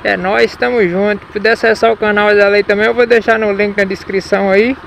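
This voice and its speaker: A young Brazilian man with a Minas Gerais accent chats in slang from his motorcycle, his voice sitting high with a gravelly fry on it. He curses at drivers. Road and engine noise roar loudly around a helmet-mounted mic. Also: level tone, quick pace, rough recording. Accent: Brazilian Portuguese, Minas Gerais. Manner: slangy, swears at drivers, irritated outbursts. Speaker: motovlogger. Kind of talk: casual running commentary while riding. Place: city streets outdoors on a motorcycle. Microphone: helmet or action camera mic.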